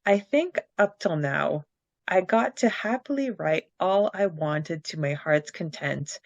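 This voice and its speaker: Woman podcast co-host with a low voice and a dry, dull-toned recording. Chatty and upbeat, reading fan questions aloud. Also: expressive, takes it slowly, creaky, darkish tone, no room sound. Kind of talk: casual chat and reading listener questions aloud.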